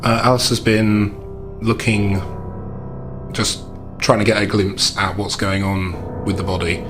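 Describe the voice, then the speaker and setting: A man's voice: on the low side, level tone, a little slow, music in the background. A man chatting casually as a player in a tabletop roleplaying game.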